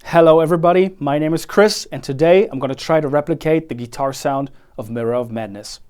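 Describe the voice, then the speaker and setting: A young man hosting his own channel, talking casually and explaining guitar recording gear in a relaxed, friendly way, with light self-deprecating asides. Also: a little high, brisk, smooth.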